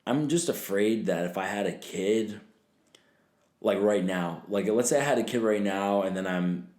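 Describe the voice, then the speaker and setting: A man's voice: fairly low pitch, moderate pace, darkish tone. A man in his twenties talking casually as a podcast guest, loose and profane, with lots of fillers and laughter. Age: 20s. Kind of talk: casual chat. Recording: echoey room.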